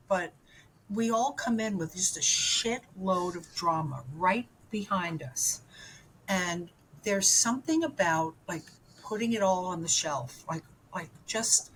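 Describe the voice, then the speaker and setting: A woman's voice: lowish voice, a bit bright, a little hiss or hum, poor audio. Rambling, candid woman in her seventies. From New York. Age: 70s. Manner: candid, rambling, frequent fillers and restarts. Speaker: guest. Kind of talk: personal story in interview.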